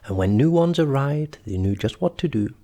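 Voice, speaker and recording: A man's voice: mid-range voice, lively intonation, normal speed, mellow. A man reading a children's story aloud expressively, as storyteller and host.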